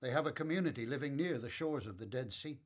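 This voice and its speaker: A man acting in a radio Bible play as a gentle, frank and devout elderly father, measured and reassuring. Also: mid-range voice, animated, close to an everyday voice. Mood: thoughtful, advising. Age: older.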